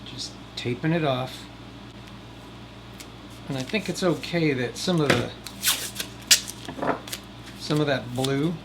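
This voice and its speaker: Folksy man over loud background hum. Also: mid-range voice. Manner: folksy, jokey, rambling asides. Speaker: host of DIY video series. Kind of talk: casual how-to vlog talk. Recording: loud air conditioner noise behind voice. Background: air conditioner hum.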